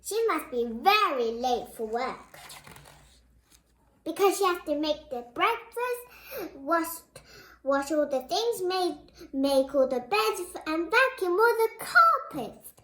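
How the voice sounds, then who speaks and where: Very high-pitched, expressive child storyteller. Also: takes it slowly. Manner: expressive, exclaiming, giggly. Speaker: storyteller reading a picture book aloud. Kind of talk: read-aloud storytelling with spontaneous side comments. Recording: roomy, echoing home recording.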